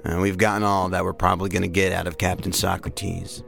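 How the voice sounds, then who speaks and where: Plain-spoken investigator, very low gravelly voice, direct, matter-of-fact, audio drama, music behind. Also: male voice, little pitch movement, brisk, fairly bright tone, heavily acted. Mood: businesslike. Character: level-headed, plain-spoken male federal agent.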